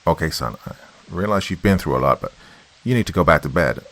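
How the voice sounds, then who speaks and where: A man voicing a bewildered father in a horror story, trying to sound calm and reasonable. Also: rumbling low, brisk, full character voice. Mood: placating. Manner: placating, reasoning.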